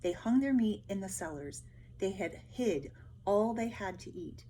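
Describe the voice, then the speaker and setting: A woman's voice: medium pitch, expressive, measured pace, mellow, slight background noise. A woman teacher speaking casually to pupils, explaining and reading a storybook aloud. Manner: explanatory, addressing pupils directly.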